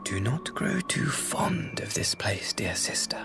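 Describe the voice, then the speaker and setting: Silky, scheming villain, swooping delivery. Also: male voice, on the high side, big pitch swings, unhurried, creaky, whispered, over music, exaggerated voice. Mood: smug, taunting. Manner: silky, mock-courteous, theatrical, formal. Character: smooth, scheming young imperial ambassador, cruelly mocking brother. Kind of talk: video game cutscene acting.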